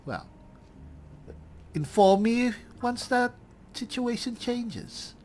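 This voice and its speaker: A man voicing a cool, dry high elf spellsword, musing formally as he roleplays through a fantasy game. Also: expressive, a little mellow, slight background noise, full character voice. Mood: dry, polite. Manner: measured, formal, thinking aloud.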